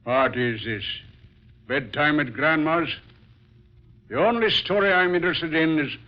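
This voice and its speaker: Wisecracking American policeman, male actor, gruff and slangy, fairly high male voice, 1940s radio acting on a dull old recording. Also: unhurried, close to an everyday voice. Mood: sarcastic, suspicious. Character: brash, wisecracking American city policeman, suspicious and bossy.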